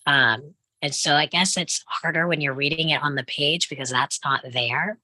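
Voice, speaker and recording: A woman's voice: sits low, moderate pace, bright, forceful tone, dry recording, rough recording. A woman giving her opinion informally in a casual discussion, with plenty of "like" in her phrasing. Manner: informal, uses "like" often. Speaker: panel participant.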